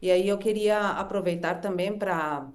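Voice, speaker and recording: A woman facilitator on a live stream, speaking gently and reflectively about relationships, often ending thoughts with soft tag questions. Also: on the low side, normal speed. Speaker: workshop facilitator. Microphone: live stream from home.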